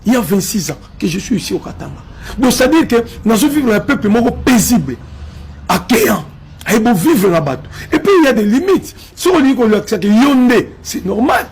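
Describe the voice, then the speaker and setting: Congolese man, high lively voice, fiery street-level political commentator, faint background hiss. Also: brisk. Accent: Congolese French. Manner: emphatic, repetitive, mixes in Lingala.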